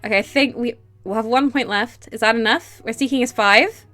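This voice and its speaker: A woman streaming a game, chatting casually and reading its text aloud, excitable and quick to laugh, with a stuffy nose and sore throat from a cold. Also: faint music behind. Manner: excitable, laughs easily, bursts into high delight.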